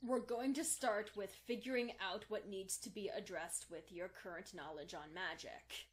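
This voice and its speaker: A woman's voice: mid-range voice, normal speed, lightly acted. A woman playing a kind, patient young unicorn mentor in a fan fiction audio drama, reassuring and instructive.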